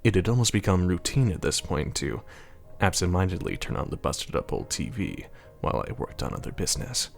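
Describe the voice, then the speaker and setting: A man playing a weary, irritable mayor who narrates an audio drama with deadpan, grumbling sarcasm. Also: low-pitched, fairly quick, gravelly, soft background music, full character voice. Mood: weary, dry. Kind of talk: first-person scripted narration with dialogue, audio drama.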